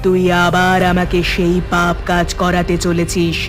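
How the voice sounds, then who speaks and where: Man as a high, monotone vengeful cartoon ghost. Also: a little slow. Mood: vengeful, bitter. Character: vengeful ghost of a murdered victim in a well. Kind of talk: cartoon horror story voice acting. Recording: loud music behind the voice.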